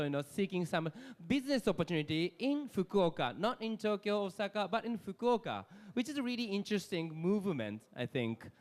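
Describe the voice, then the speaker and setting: A man's voice: high-pitched, smooth. A man moderating a live panel on a hotel event stage, loose and upbeat, full of "like" and "you know". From Japan. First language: Japanese.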